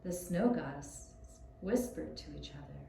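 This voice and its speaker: Woman storyteller for small children. Soft mellow tone, unhurried delivery, very roomy recording. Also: low voice, huge pitch swings, creaky. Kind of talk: scripted storytelling for young children. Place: echoey room, puppet show performance. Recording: roomy echoing sound with faint hiss.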